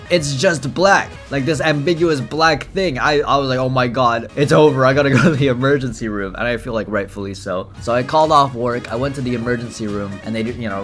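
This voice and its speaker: A man's voice: medium pitch, a bit bright. A young man raised in England, casually commenting on his gameplay and telling chatty stories with frequent laughter. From Philippines, raised in England. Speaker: gaming streamer.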